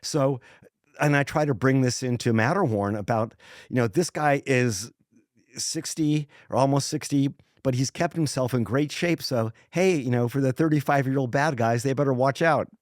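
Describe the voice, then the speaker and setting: A man's voice: medium pitch, normal speed, dry recording, clean studio sound. A man in his sixties, a guest author in a casual podcast interview, talking thoughtfully and rambling with many restarts. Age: 60s.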